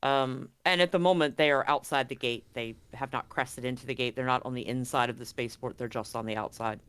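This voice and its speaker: A game master with a clear, smooth voice in a middling register runs a tabletop roleplaying game. The delivery is informal and conversational, working out the scene aloud. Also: mid-range voice, steady tone, brisk, a bit bright. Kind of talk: narrating a live-streamed tabletop roleplaying game.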